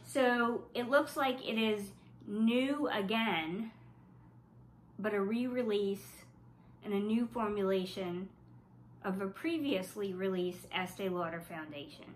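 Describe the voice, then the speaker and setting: A woman's voice: medium pitch, takes it slowly, reverberant, a little hiss or hum, low-quality recording. Stuffy-voiced woman reviewing makeup. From South Louisiana. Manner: wry, skeptical, reading product claims aloud. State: stuffy from flu. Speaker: beauty vlogger. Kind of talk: casual product review.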